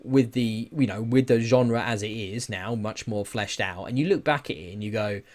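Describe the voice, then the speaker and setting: A man in a casual podcast discussion, giving opinions in an animated, sweary way with rhetorical questions. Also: lowish voice, moderate pace. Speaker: podcast host.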